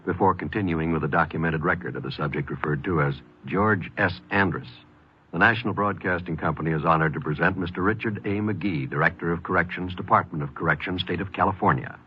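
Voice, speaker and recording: American guy, deep voice, formal old time radio announcer, muffled with a bit of hiss. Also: quick pace. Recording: muffled old radio broadcast, faint noise.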